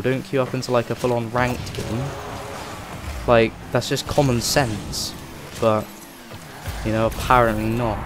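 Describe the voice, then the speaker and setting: Man, rough voice from a cold, chatty rambling gameplay talk, flat delivery, game music clearly behind. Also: medium pitch. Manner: rambling, chatty, many "like" fillers. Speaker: gaming channel host. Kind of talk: casual gameplay commentary.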